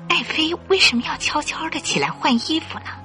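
A woman narrating a scripted radio drama in an expressive, suspenseful storytelling style. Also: sits high, fairly quick, soft background music.

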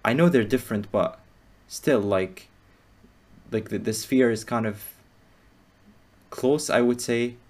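A man's voice: medium pitch, takes it slowly, a little mellow. A man hosting a casual chat, asking long rambling questions full of fillers. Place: Qatar.

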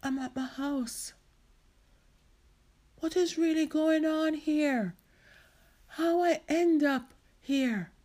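A woman storyteller voicing an excitable, dramatic Jamaican woman who exclaims and questions herself aloud, with a Jamaican accent. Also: medium pitch, a little slow, husky. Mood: bewildered. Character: excitable Jamaican woman, easily delighted, growing bewildered and panicky. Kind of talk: acted oral storytelling.

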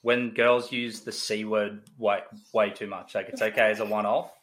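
A man's voice: on the low side, flat delivery, normal speed, fairly bright tone. A young man in his twenties, a guest on a podcast, chatting casually and hedging his opinions. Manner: hesitant, hedges his opinions. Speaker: podcast guest, a host's boyfriend. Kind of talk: casual chat.

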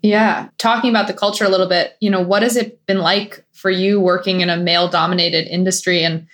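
A woman interviewer asking friendly, quick follow-up questions. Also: lowish voice, steady tone, fairly bright tone.

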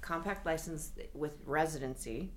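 Teasing woman with a noisy background. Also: deep voice. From Colorado. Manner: teasing, quick to argue. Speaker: co-host. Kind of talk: casual podcast chat.

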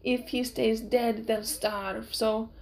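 A woman's voice: fairly high pitch, level tone, takes it slowly, gravelly, darkish tone, poor audio. A woman voicing an earnest younger man, polite and urgent, in an audiobook story. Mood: earnest, pleading. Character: earnest younger skinny man pleading with an old healer.

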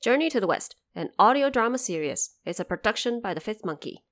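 A woman's voice: mid-range voice, very animated, brisk, fairly bright tone. A woman hosting a podcast, giving scripted explanations with conversational asides addressed straight to the listener. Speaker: podcast host.

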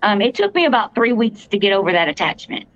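A woman with a fairly deep voice tells her story earnestly, stopping and restarting, with plenty of fillers along the way. The recording is dull and lo-fi, and it sounds very dry, with no room echo. Her pitch sits in the middle for a woman. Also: sing-song, fast talker. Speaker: podcast co-host, paranormal investigator. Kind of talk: casual storytelling. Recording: dull lo-fi podcast audio, dry.

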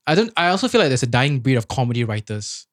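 Brief: young man, podcast co-host, rapid chatty delivery, close dry mic. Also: mid-range voice, big pitch swings, no echo. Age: young adult. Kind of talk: casual chat.

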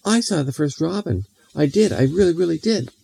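A man's voice: fairly high pitch, lively intonation, brisk, creaky, warm tone, slight background noise, pitched above the performer's own voice. A man voicing a bratty, teasing young girl in a bedtime story, talking in a gleeful squeal. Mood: boastful.

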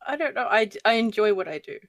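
A woman with a slight lisp and a fairly deep voice, chatting hesitantly as a podcast guest. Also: animated, fast, dry recording, clean studio sound. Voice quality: voice on the deeper end for a woman. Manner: soft-spoken, hesitant with frequent ums. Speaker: guest narrator. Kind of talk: casual podcast chat.

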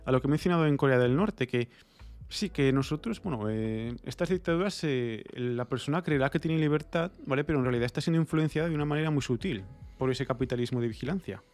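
Man from Spain explaining haltingly. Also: mid-range voice, a little mellow. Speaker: podcast co-host. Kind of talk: casual conversational discussion.

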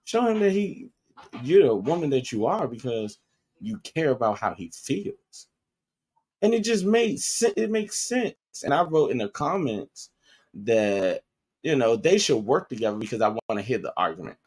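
A man's voice: mid-range voice, normal speed, breathy edge. A man co-hosting a podcast in casual chat, animatedly retelling what others said. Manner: animated storytelling, quoting others. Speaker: podcast co-host.

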